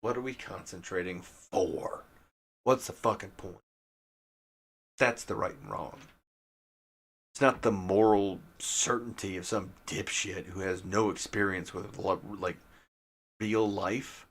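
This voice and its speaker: A man's voice: sits low, steady tone, takes it slowly, pauses often, fairly bright tone. A man from Detroit rambling casually on a stream, profane and irreverent, often laughing at his own jokes. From Detroit, USA.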